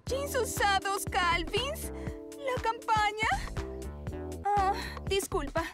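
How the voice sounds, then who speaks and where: Very high-voiced, vain young model. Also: female voice, animated, slow pace, exaggerated voice. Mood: dramatic refusal. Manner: dramatic. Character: vain, dramatic young fashion model Camille. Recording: loud music behind the voice.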